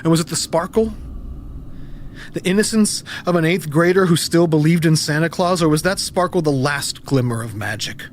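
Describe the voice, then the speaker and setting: An actor playing a grieving, disillusioned former preacher turned monster hunter in an audio drama, brooding and intense. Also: male voice, fairly high pitch, normal speed, slight background noise, close to an everyday voice. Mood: anguished. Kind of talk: audio drama acting, confessional monologue.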